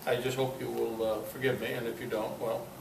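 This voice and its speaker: An older man giving an informal, halting talk to a club, with a self-deprecating, dryly humorous manner. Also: medium pitch, level tone, vocal fry. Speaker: guest speaker at a club meeting. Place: club meeting room, indoors. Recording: roomy, distant mic, noisy amateur recording.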